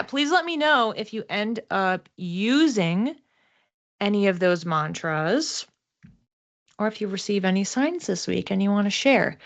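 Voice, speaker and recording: A woman's voice: mid-range voice, creaky. Woman in casual explanatory talk, slightly muffled. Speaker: psychic reader, host. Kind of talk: casual explanatory card reading to camera.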